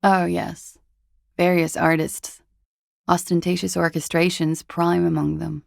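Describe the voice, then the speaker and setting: An actress playing a cool, guarded young woman in a video game, with a husky, breathy, gravelly voice and a languid, dryly understated delivery. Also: lowish voice, level tone, unhurried, no echo. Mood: cool, matter-of-fact. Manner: languid, dry, wryly understated. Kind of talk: video game character dialogue.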